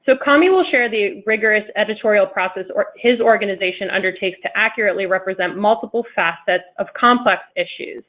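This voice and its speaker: A woman's voice: mid-range voice, fast talker, few pauses. Woman host reading a tinny intro. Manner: polished, reading from a script. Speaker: webinar host. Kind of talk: scripted introduction. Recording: tinny phone-like web call audio.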